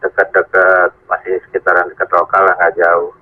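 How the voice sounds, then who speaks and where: A man's voice: low voice, completely flat delivery, moderate pace. A man talking politely and relaxedly as a ham radio operator, spelling out call signs. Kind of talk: radio chat over a repeater. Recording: tinny radio transmission.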